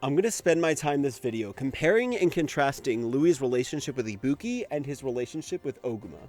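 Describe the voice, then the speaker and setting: Man narrating a lively, casual video essay. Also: mid-range voice, brisk, soft background music. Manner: informal, conversational, occasional swearing. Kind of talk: casual scripted commentary on an anime.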